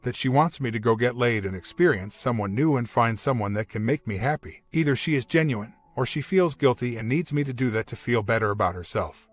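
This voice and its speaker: A man's voice: mid-range voice, animated, a little fast, few pauses. A man reading a first-person personal story aloud as scripted narration. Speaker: narrator reading online relationship stories.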